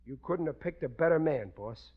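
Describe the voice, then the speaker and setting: An actor playing a blustering, soft-hearted working-class family man in a 1940s radio sitcom, loud and ungrammatical. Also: fairly high pitch, expressive, normal speed, narrow, tinny audio. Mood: sheepish. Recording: noisy 1940s radio recording, studio audience.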